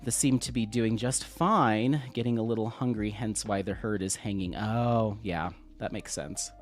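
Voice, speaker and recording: A man hosting a casual craft livestream, slightly sniffly, reading viewer comments aloud in a relaxed, friendly way at a desk microphone. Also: on the low side, moderate pace, faint music behind. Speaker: livestream host. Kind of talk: casual live-stream chat, reading comments. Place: home craft room, at a desk.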